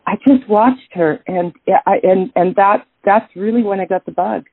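Canadian jazz singer lady, middle-aged, husky, lots of ums and restarts, phone-ish audio. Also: mid-range voice, moderate pace, no echo. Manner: thoughtful, many restarts and fillers. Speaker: jazz singer, interview guest. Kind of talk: casual interview answers. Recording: tinny phone-like line.

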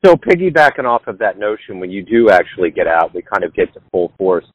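A man's voice: low voice, very animated, brisk, slightly rough, dry recording. A man hosting a radio interview, asking questions in a friendly, conversational way. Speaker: radio host. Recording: dull lo-fi line.

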